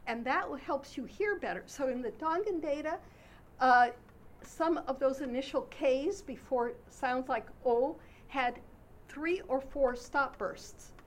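A woman giving an academic conference presentation on her research in a hesitant way, with pauses between phrases. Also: high-pitched, a little hiss or hum.

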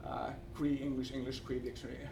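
A man with a Finnish accent presents research in a halting way, often searching for his next word. His voice sits at an average male pitch. It is picked up from some distance from the microphone, with faint noise behind it. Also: level tone, creaky. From Finland. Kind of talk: conference presentation with slides.

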